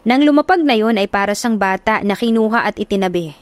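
A woman's voice: mid-range voice, quick pace, no room sound. Woman narrating a romance audiobook, dry close sound. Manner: steady storytelling narration.

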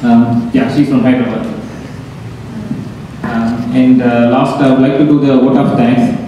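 A man in his forties holds a microphone at an indoor event and speaks with a dark, mellow tone and a flat pitch. His delivery is halting and full of fillers. The sound carries a long reverb and reaches the camera from far across the room. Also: noisy background. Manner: halting, many fillers and restarts. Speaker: event organizer. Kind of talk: reading a prepared message aloud, then giving a vote of thanks. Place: large hall, indoors. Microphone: handheld microphone. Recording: echoey hall, camera at a distance.

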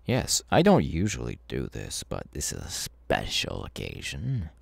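A very deep, slow-talking masked cult killer, acted by a man, smug and sadistic. He taunts with mocking casualness in an ASMR roleplay, his delivery swinging widely in pitch. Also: huge pitch swings, creaky, breathy edge, dry recording, full character voice. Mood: mockingly polite. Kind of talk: ASMR audio roleplay, villain monologue.

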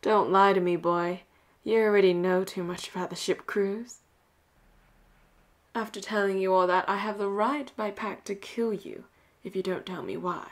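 A woman voicing a flirtatious, teasing, commanding pirate captain in an intimate audio roleplay, often dropping into breathy whispers. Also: medium pitch, fairly even intonation, moderate pace, close to an everyday voice. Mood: threatening.

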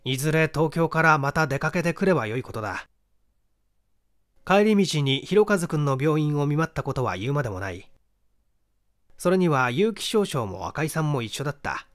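A man giving a measured, expressive reading of a literary detective story as narrator. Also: a little high. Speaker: audiobook reader, stage actor.

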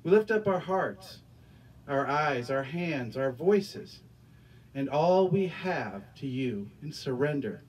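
A man's voice: on the high side, lively intonation, slow, darkish tone, husky, recorded from a distance, low-quality recording. A middle-aged man preaching and praying as pastor in a small church, earnest, often repeating his words, speaking into a handheld microphone. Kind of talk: sermon and prayer. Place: small church stage with curtains.

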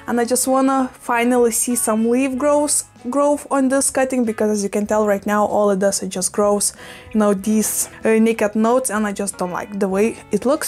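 A young woman with a slightly high voice, whose first language is Russian, chats at speed into a fixed camera from a small room crowded with plants. Her talk gushes and spills over with exclamations. Soft background music plays faintly beneath her. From Russian-speaking, living in Lithuania. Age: young adult. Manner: fast, gushing, chatty with many exclamations. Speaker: plant vlogger. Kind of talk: casual chat while repotting plants. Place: small indoor room full of plants and shelves. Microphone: fixed camera at desk.

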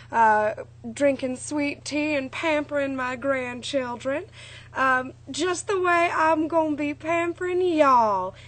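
A young woman with a slightly raised pitch and a touch of hoarseness chats to her friends on a webcam. She rambles cheerfully, full of fillers and asides. The sound is a small dorm room picked up at close range. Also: fairly bright tone. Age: young adult. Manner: chatty, bubbly, rambling with many fillers. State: a little hoarse. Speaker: vlogger. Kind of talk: casual chat to friends.

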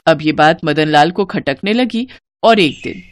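A woman's voice: deep voice, lively intonation, unhurried, noisy background. A woman narrating a scripted cartoon story in a dramatic storytelling style.

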